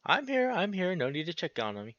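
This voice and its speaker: A man's voice: high voice, big pitch swings, fairly quick, fairly bright tone, dry recording, lo-fi sound, pitched above the performer's own voice. A man voicing a laid-back, cheeky young male visual-novel character in a breezy, joking, slangy way.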